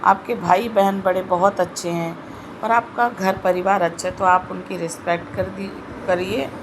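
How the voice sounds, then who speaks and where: A woman with a sore throat giving a slow, earnest devotional talk in an online video. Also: mid-range voice, steady tone, noisy background. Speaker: spiritual video host.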